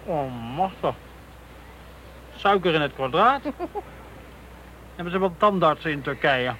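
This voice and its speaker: An actor playing a blunt, wisecracking police detective in a casual radio play. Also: male voice, fairly high pitch, animated, slow pace, pushed and bright, heavily acted. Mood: teasing. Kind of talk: naturalistic radio play dialogue. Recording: noisy street and vehicle ambience.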